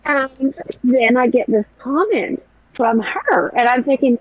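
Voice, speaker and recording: Woman podcast host, nasal and whiny, foul-mouthed and animated; dry, muffled lo-fi sound. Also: high-pitched, takes it slowly, dry recording. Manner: blunt, profane, animated. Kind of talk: casual commentary chat.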